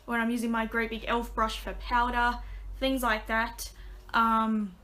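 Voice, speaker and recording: A young woman chatting casually to her webcam from a small bedroom, her voice a little raspy, full of ums and restarts. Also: a little high, completely flat delivery, unhurried, pushed and bright. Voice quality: slightly raspy. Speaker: vlogger. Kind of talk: casual vlog update. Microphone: webcam at desk.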